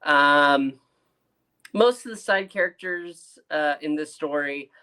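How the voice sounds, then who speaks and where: A man's voice: fairly high pitch, slow, sharp tone, clean tone. A man co-hosting a podcast review, chatting informally and with enthusiasm. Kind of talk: casual review chat.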